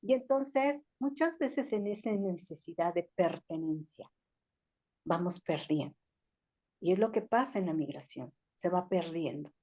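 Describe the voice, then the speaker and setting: A woman's voice: on the low side, animated, measured pace, warm tone. An older woman with a Mexican accent, talking thoughtfully on a discussion panel, full of fillers like "o sea" and "este". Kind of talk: reflective talk-show discussion. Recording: slightly dull lo-fi audio.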